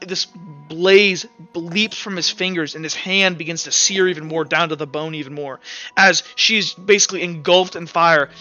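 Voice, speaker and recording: A man's voice: high-pitched, bright, forceful tone, muffled recording. A young man narrating a tabletop role-playing session as game master, with many fillers and restarts. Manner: halting, filler-heavy narration.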